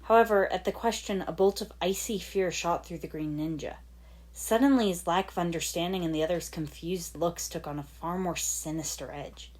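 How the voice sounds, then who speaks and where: A woman's voice: fairly low pitch, moderate pace, a little mellow. A woman reading fan fiction aloud as dramatic scripted story narration. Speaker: fan fiction podfic reader.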